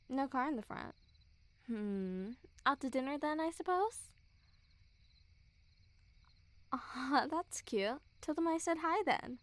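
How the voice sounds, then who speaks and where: A woman's voice: high voice, no room sound. A young woman playing a smug, mocking school bully girl who teases with a hint of care, in an intimate ASMR roleplay. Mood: nosy, teasing. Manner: mocking, teasing, giggly. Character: teasing, smug school bully girl, secretly a little caring. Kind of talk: ASMR girlfriend roleplay, intimate one-sided dialogue.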